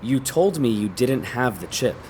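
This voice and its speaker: A man's voice: medium pitch, expressive, unhurried, over loud music, natural voice. A man playing a distressed, indignant lover who feels trapped, incredulous and accusing, in an audio drama. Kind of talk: naturalistic audio drama acting.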